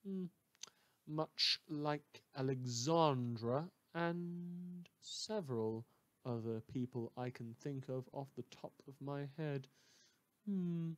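A man playing a morbidly amused radio host in a fan audio drama, rambling hesitantly with dark, wry mock concern. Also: mid-range voice, slow, no room sound, lo-fi sound, natural voice. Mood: musing, sardonic.

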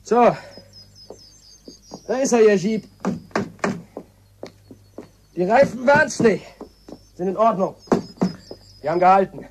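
An actor playing a plain-spoken, gossipy village car mechanic in a radio play, chatting colloquially. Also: male voice, high-pitched, expressive, unhurried, noisy background. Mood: busy, matter-of-fact. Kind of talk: German radio play acting.

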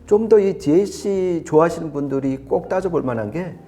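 A man's voice: fairly high pitch, expressive, fairly quick, dark tone, soft background music. A man giving an unscripted technical product review in a conversational, explanatory and emphatic way. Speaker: audio equipment reviewer explaining specs.

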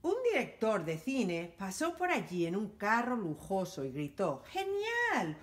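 A woman reading a children's picture book aloud for library storytime, in an animated, sing-song storytelling manner. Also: medium pitch, measured pace.

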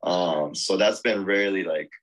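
A man with a very low voice talks about his music over a video call. He is eager and loose, full of fillers and restarts, heard through a laptop mic in a small home studio. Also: measured pace, low-quality recording. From United States. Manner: enthusiastic, rambling with many fillers. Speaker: techno DJ and producer. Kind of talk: casual video call interview. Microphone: laptop or webcam on video call.

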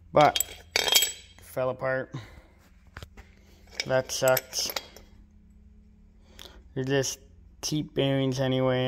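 A man's voice: fairly high pitch, noisy background. A young man vlogging from his farm workshop, narrating casually in a laid-back, rambling way with plenty of fillers. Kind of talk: casual narration while working.

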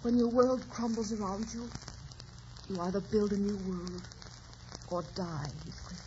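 An actress playing an anguished, passionate young wife in love, with melodramatic old-time radio drama acting. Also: female voice, medium pitch, fairly even intonation, unhurried, warm tone, lightly acted. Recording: muffled, hissy old radio broadcast.

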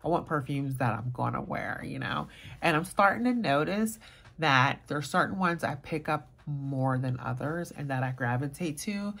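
A soft-spoken woman chatting casually as a vlogger, murmuring her thoughts quietly as she talks. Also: deep voice, expressive, normal speed, creaky. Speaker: fragrance vlogger. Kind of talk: casual chat.

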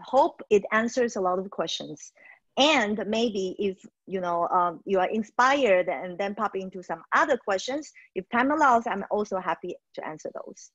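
A woman's voice: medium pitch, lively intonation, normal speed, a bit bright, breathy edge, no echo. A woman from Taiwan presenting in a webinar over a video call, hesitant, with many fillers and restarts. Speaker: webinar speaker, HR trainer. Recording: dull, dry video call audio.

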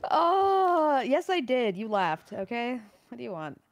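A woman's voice: fairly high pitch, big pitch swings, measured pace, no room sound, highs cut off. A woman co-hosting a stream, chatting casually, sarcastic and often laughing. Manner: sarcastic, laughing, teasing back. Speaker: co-host on stream.

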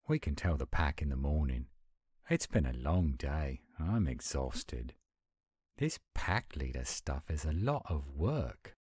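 A man playing a gruff, weary but kind werewolf pack leader, measured and plain-spoken, in an audio roleplay. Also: extremely low pitch, darkish tone, no room sound, studio quality, heavily acted. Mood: tired, grateful.

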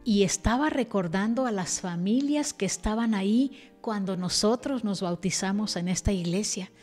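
A woman's voice: medium pitch, normal speed, darkish tone. An older woman you would hear in an online scripture study video, earnestly reflecting from her desk with music playing underneath. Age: older, about 60. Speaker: religious teacher presenting a scripture lesson. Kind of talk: devotional scripture lesson to camera. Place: indoor room, seated at a desk. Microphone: desk microphone. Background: background music.

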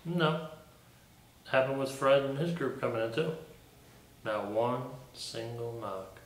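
A middle-aged man talking casually as a paranormal investigator in a dark indoor room, recorded on a camcorder. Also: mid-range voice, lively intonation, slow pace. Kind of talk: casual talk during a ghost investigation, calling out to spirits. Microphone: camcorder a short distance away. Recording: echoey room, faint noise, camcorder audio.

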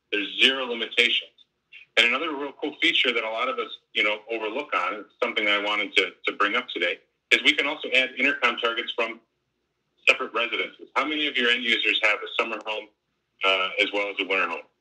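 A man gives a conversational technical walkthrough over a video call. His voice sits slightly low, with an extremely bright, sharp edge. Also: poor audio. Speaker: technical specialist giving a demonstration. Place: home room, indoors, on a video call. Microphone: wired earbuds on a laptop video call.

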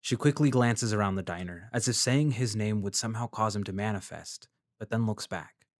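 A man playing an earnest freelance journalist in a fiction podcast, measured and tentative as he interviews and narrates. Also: fairly low pitch, no echo, clean studio sound, close to an everyday voice. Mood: tense, reflective. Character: earnest, tactful male freelance journalist narrating his investigation. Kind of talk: fiction podcast acting, scripted first-person narration.

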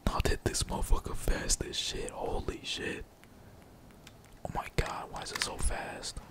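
A young man keeps up a casual, whispered commentary as he plays a game. His voice is all breath with hardly any tone behind it. He rambles in heavy slang and swears freely. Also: measured pace. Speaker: gaming streamer.

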